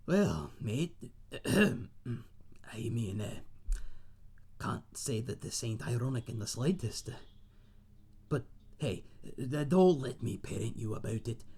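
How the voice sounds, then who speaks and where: A man playing a friendly tavern owner and former sailor, husky and chatty, telling tales in a folksy seafaring dialect in a fantasy audio roleplay. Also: medium pitch, sing-song, slow, pauses often, warm tone, heavily acted. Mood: caring, playful. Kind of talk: ASMR roleplay monologue in a fantasy setting.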